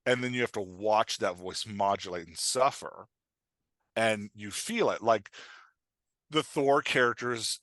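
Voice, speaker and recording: A man with a lowish, forceful, bright voice, recorded close and dry. He explains like a coach on a podcast, informal and halting, pressing his points and checking that the listener follows. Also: no echo. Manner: informal, halting, filler-heavy, emphatic with check-in questions. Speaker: writing coach, podcast guest. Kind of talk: casual podcast interview, explaining.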